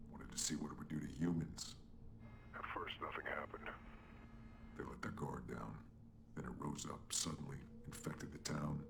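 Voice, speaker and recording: A shadowy video game informant with an extremely deep voice. He is hushed and gravelly, cold and secretive, parcelling out ominous, clipped revelations. A clear layer of ambient noise sits behind him. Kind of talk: video game cutscene exposition.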